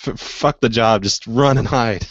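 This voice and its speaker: A man's voice: medium pitch, unhurried, a bit bright, dry recording. A man hosting a prank call show, improvising casually in a mischievous, innocent-sounding way, on dull lo-fi audio.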